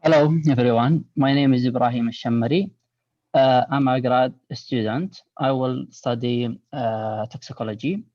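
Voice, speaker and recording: A man's voice: mid-range voice, fairly even intonation, normal speed, darkish tone, no room sound, recorded from a distance. A man introduces himself as a graduate student on a video call. He sounds set back from the mic, and the audio is dull and dry.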